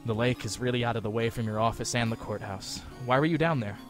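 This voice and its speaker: A man's voice: medium pitch, quick pace, over music, lightly acted. Man voicing an earnest, flustered young lawyer. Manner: exclamatory, wry, earnest. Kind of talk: anime-style fan audio drama acting.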